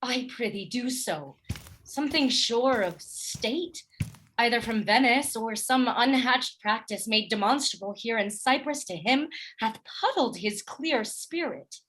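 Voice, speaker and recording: A woman playing an earnest, distressed young noblewoman and loyal wife in a Shakespearean radio play, with a husky voice. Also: a little high, lively intonation, measured pace, a bit bright, full character voice, low-quality recording. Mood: anxious, gentle.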